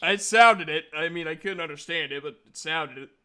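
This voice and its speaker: A man's voice: a little high, normal speed, pushed and bright. A man improvising casual narration as the game master of a tabletop roleplaying game, in an informal way.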